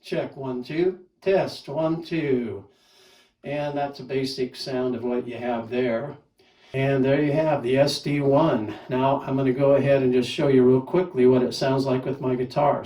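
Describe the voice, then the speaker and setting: A man's voice: mid-range voice, fairly even intonation, measured pace, dark tone, reverberant, distant mic. A man casually reviewing a microphone, talking plainly and counting off mic tests. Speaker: gear reviewer. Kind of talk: casual product demo with mic checks.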